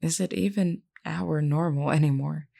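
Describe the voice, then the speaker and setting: A low-voiced vampire queen played by a woman, composed and solemn, with a gravelly fry in her tone. She speaks formally and earnestly, like a caring leader in an audio drama, reassuring and measured. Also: fairly even intonation, warm tone, full character voice. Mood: reflective, gentle. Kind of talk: scripted character roleplay audio.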